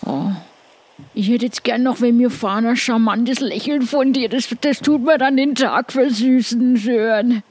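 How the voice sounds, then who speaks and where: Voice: mid-range voice, a little slow, a little mellow, breathy edge. A performer voicing a cheeky, charming pirate in improvised tabletop roleplay, chatty and joking. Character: charming joking male pirate, hungry and grumbling good-naturedly.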